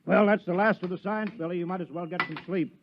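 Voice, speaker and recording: An insistent, officious mayor with an American accent and a fairly high male voice. He coaxes and fusses in old 1950s radio drama style, on a slightly muffled recording. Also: steady tone, fast, slight background noise. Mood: bossy, coaxing. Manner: coaxing and officious, with hesitations. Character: fussy, insistent small-colony mayor, adult man.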